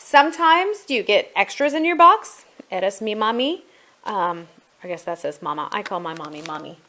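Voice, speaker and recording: A middle-aged woman gives a casual product walkthrough in her home. Her voice sits at an average pitch, and she speaks in an explanatory, conversational way, as a homeschooling parent would to other parents. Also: animated, moderate pace, bright, forceful tone, a little hiss or hum.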